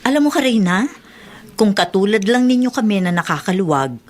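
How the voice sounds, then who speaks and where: A woman's voice: medium pitch, animated, brisk, faint music behind, natural voice. A woman playing a chatty, envious young mother in a Filipino radio drama, gossipy and exclaiming.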